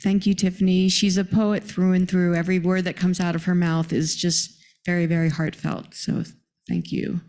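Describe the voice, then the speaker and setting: A woman's voice: fairly low pitch, little pitch movement, moderate pace, a little mellow, slight background noise. A woman moderating a conference panel, thanking speakers and introducing the next one.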